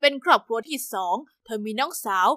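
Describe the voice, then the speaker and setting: A kind adoptive father from a spoken story, talking in a gentle, encouraging way, as a woman narrator puts him on. She drops her voice noticeably lower and changes its colour, and the recording is dry and close. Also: animated, fairly quick, no room sound.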